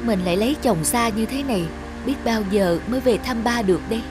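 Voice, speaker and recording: Actress as sorrowful young married daughter, cartoon. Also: female voice, fairly high pitch, a little fast, close to an everyday voice. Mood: wistful worry. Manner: earnest, sorrowful. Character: regretful, loving grown daughter, a young married woman. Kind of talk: cartoon drama dub. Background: music.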